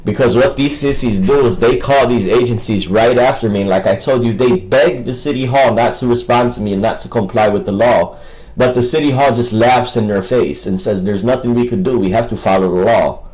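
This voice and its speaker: A man's voice: medium pitch, fairly quick, a little mellow, poor audio. A man rambling casually as a livestream host, with a combative, mocking and coarse way of talking.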